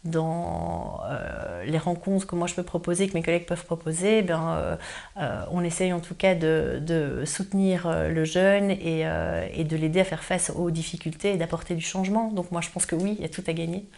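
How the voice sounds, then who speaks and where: A woman's voice: on the low side, moderate pace, creaky, a little mellow. A woman giving unscripted interview answers, hesitant and searching for words.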